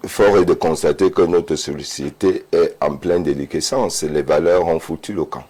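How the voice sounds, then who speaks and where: Deep-voiced man in his fifties. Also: moderate pace, mellow, breathy edge. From Cameroon. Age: 50s. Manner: emphatic, repeats words when starting. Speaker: panel guest. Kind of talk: studio debate.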